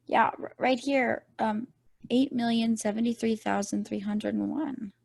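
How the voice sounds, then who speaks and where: A woman's voice: a little high, steady tone, measured pace, gravelly, darkish tone. A woman playing an earnest, curious and easily puzzled space probe, hesitant and conversational, in a table read. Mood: confused. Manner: hesitant, questioning.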